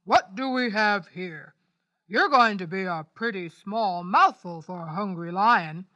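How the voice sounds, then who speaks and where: A big, proud lion speaks slowly and grandly in a loud, booming voice, as a woman reading a children's storybook puts him on. His delivery is lively and full of bright color. He sounds like the king of beasts. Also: dry recording, highs cut off. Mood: teasing.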